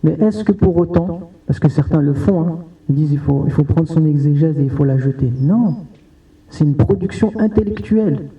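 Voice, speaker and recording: A man's voice: a little high, moderate pace. A man on a conference panel, answering in a hesitant way with many restarts. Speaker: panel speaker. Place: conference hall. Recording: distant roomy hall sound, dull audio.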